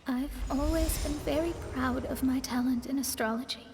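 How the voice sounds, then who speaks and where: A woman's voice: sits high, fairly even intonation, moderate pace, background noise. A woman voicing a proud, teasing young genius astrologist in an anime game, in a self-assured way, over music. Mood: earnest, composed. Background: background music.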